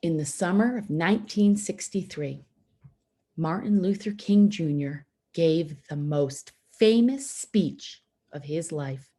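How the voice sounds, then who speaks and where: A woman reading a children's picture book aloud, phrasing it simply and deliberately with pauses for young listeners. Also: low-pitched, mellow. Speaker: storybook reader for schoolchildren.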